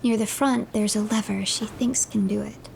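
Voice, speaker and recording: A woman tells a game's story in a measured, breathy storyteller's voice. Her pitch stays very even, with a gravelly fry at the edges. Clear ambient noise sits behind her. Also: poor audio. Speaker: streamer narrating a game. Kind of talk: dramatic story narration, often breathy and hushed.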